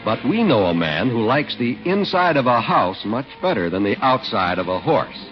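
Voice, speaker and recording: A muffled old radio broadcast with faint music. A male announcer reads scripted copy in an emphatic 1940s style, with lively intonation. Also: mid-range voice, moderate pace. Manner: polished, emphatic announcer delivery.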